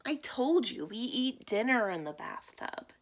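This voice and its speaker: A woman's voice: on the high side, big pitch swings, unhurried, voice pushed up. A woman voicing a matter-of-fact, mildly exasperated child in a children's picture book read-aloud.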